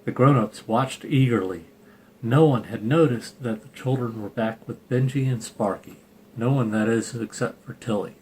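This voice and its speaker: A man's voice: mid-range voice, moderate pace, darkish tone. A man reading a children's picture book aloud informally, with irreverent, sarcastic asides. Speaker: storybook reader and channel host. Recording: clearly noisy home recording.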